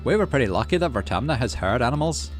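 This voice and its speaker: Guy doing a chummy farm dad for a sci-fi game, pitched up, really animated, bit of music behind. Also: quick pace, natural voice. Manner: folksy, chummy, explanatory. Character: cheerful folksy farmer dad showing his child around. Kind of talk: visual novel character read aloud.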